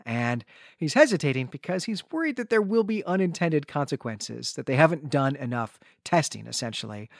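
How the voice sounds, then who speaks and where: A man's voice: medium pitch, no room sound, clean studio sound. A man co-hosting a podcast, recapping a story conversationally in a wordy, digressive and wryly joking way. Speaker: podcast co-host.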